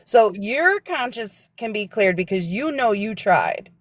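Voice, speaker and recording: A woman's voice: medium pitch, lively intonation, a little slow, dry recording. A woman, a guest on a livestream, speaking earnestly and lecturing, sometimes searching for her words. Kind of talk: casual livestream chat.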